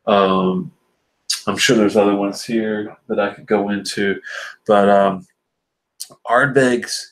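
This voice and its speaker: A man's voice: fairly low pitch, steady tone, takes it slowly, low-quality recording. A man hosting a casual live stream about whisky, reading and answering viewer comments in a rambling, filler-heavy way, sounding stuffy and sinusy from allergies. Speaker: whisky reviewer host.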